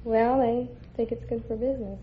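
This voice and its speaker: A woman's voice: fairly high pitch, fairly even intonation, a little fast. A young woman answering politely as a contestant on a classic radio quiz show. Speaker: quiz contestant, airline hostess. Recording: dull, noisy vintage radio recording. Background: studio audience.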